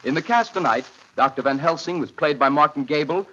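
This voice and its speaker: A man's voice: fairly high pitch, fairly quick, pushed and bright. A man announcing formally on a 1930s radio network, reading credits in a scripted style. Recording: dull, noisy 1930s radio recording.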